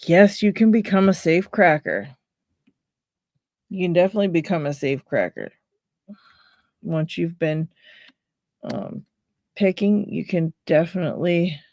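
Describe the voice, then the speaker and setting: A woman's voice: fairly low pitch, lively intonation, a little slow, gravelly, pauses often, darkish tone, no room sound. A woman with a Pittsburgh accent, hosting a casual live stream and chatting with viewers while she reads names from chat and laughs often. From Pennsylvania, USA. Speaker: livestream host.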